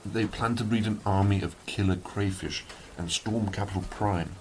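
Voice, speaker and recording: Man playing an anxious, very deep-voiced informant. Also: fairly even intonation, moderate pace, darkish tone, slight background noise, lightly acted, low-quality recording. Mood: uneasy, urgent. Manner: formal, careful, urgent. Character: anxious, earnest male informant from a political brotherhood. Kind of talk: radio drama acting.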